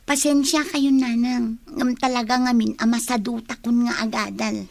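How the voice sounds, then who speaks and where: A woman's voice: a little high, steady tone, normal speed, gravelly, no echo. A woman playing a reluctant young daughter who resists her parent in a radio drama. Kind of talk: Ilocano radio drama acting.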